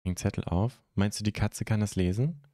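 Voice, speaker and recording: A young man from Germany hosting a live stream, chatting casually and haltingly as he answers viewer comments into a close microphone. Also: fairly low pitch, mellow, no room sound. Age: young adult. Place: indoor streaming room at home. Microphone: close microphone on a stand.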